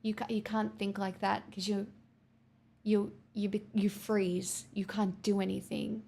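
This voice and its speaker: A woman's voice: mid-range voice, level tone, measured pace, vocal fry, warm tone, breathy edge. A woman live streamer chatting casually and candidly with viewers, answering their messages with frequent restarts and the odd swear.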